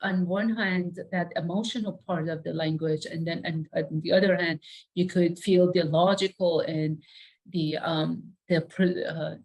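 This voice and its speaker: A woman's voice: on the low side, fairly even intonation, unhurried, a little mellow, poor audio. A middle-aged woman from Iran, a native Persian speaker, talking reflectively as an interview guest, searching for words and often saying "you know".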